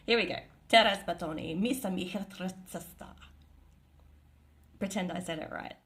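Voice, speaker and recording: A woman's voice: fairly low pitch, moderate pace, background noise. A woman chatting casually and gushing about her favourite bands, rambling with lots of fillers. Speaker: vlogger. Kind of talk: casual chat.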